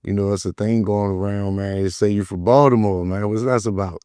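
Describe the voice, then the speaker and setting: A man's voice: on the low side, fairly even intonation, fairly quick, mellow, smooth, no echo, studio quality. A man hosting an interview podcast, talking loose and streetwise, swearing freely. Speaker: podcast host. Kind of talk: casual interview chat.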